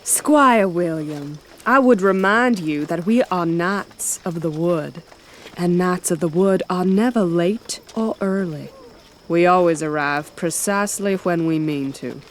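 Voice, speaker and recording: A woman playing a haughty elven knight commander in a fantasy audio drama, speaking in a measured, formal, imperious way. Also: lowish voice, slight background noise, natural voice. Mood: stern, formal.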